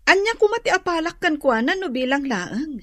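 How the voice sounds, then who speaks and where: A woman playing a hurt, soft-hearted young woman in an Ilocano radio drama, plaintive and troubled, with an Ilocano accent. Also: high voice, sing-song, moderate pace, no echo, clean studio sound.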